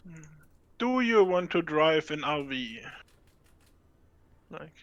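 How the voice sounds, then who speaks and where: A man's voice: on the high side, animated, slow, a bit bright, no echo, highs cut off. A man playing alongside the host, casually giving advice about ships and gear. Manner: helpful, gives game advice. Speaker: co-player. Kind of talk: casual game chat.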